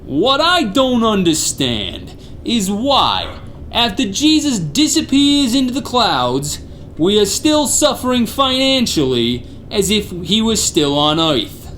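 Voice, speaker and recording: Slow, swooping pompous gangster boss. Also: mid-range voice, sing-song, a bit bright. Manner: deliberate, scolding mobster slang. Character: pompous, irritable gangster boss, curt and domineering. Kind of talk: comic radio theater acting. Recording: faintly noisy recording.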